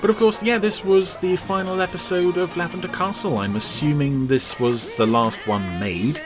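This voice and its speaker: A man's voice: fairly high pitch, moderate pace, music in the background. A man giving rambling, enthusiastic podcast commentary over cartoon clips. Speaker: podcast reviewer.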